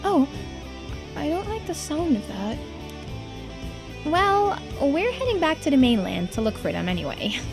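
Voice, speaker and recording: A woman voicing a polite, thoughtful young game character who muses aloud in a soft-spoken, reflective way. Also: high-pitched, big pitch swings, measured pace, pauses often, over music, voice pushed up, full character voice. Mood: wary, polite. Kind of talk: voiced game dialogue and narration.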